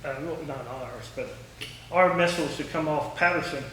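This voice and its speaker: A man's voice: fairly high pitch, normal speed, pushed and bright. A man giving an informal public talk in a folksy, anecdotal way and answering questions. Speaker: guest speaker, former air traffic controller. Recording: echoey room, distant mic, faint noise.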